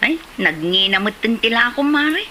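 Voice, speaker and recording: Woman acting a touchy, high-voiced Ilocano housewife. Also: expressive, moderate pace, noisy background, lightly acted. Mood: haggling. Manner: indignant, complaining. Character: touchy, haggling, grudge-holding village housewife. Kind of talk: Ilocano comedy drama acting.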